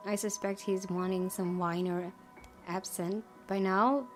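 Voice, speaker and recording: A woman's voice: medium pitch, steady tone, unhurried. A woman hosting a gaming video, switching between casual commentary and reading the game text aloud. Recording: game music behind the voice.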